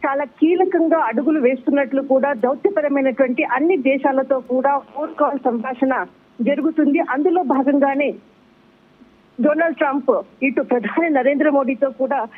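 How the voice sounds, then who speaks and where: A man giving an unscripted live news report as a bureau chief over a tinny phone line, halting and wordy, often repeating himself. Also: very high-pitched. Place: on a phone call into a newscast. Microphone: telephone.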